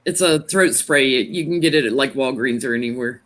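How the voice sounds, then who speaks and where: Loud-voiced male tarot reader, chatty, home laptop stream. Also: medium pitch. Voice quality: naturally loud voice. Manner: chatty and rambling, quick to laugh. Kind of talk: casual live stream chat. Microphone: laptop, sitting back from it.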